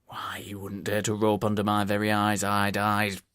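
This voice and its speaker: A man voicing a blunt, hot-tempered Victorian pub landlord in an audiobook, with gruff, colloquial speech. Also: lowish voice, monotone, normal speed, a bit bright, dry recording, studio quality, natural voice. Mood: bitter, outraged.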